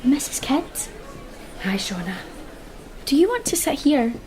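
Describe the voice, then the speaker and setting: A woman playing an apologetic, tentative estranged mother, hushed and hesitant, in a naturalistic drama. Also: sits high, sing-song, a little slow, noisy background. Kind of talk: naturalistic screen drama acting.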